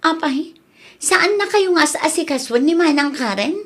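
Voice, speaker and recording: A woman's voice: high voice, lively intonation, moderate pace. A woman acting a caring, practical family member who coaxes an old father, in an Ilocano-accented radio drama. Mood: concerned.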